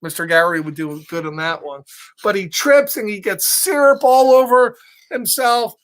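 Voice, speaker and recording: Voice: medium pitch, animated, moderate pace, fairly bright tone. Storyteller reading a kids book, real casual, repeats stuff and keeps checking in with listeners. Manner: simple, repetitive, checking in with listeners. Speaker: children's storyteller. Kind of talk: casual picture-book read-aloud with asides to listeners.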